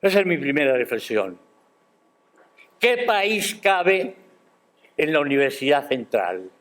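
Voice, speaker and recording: Older man, formal academic speech, slow delivery, slightly high voice, emphatic. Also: very animated, fairly bright tone. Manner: measured, emphatic, with rhetorical questions. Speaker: guest speaker at a university ceremony. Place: university auditorium.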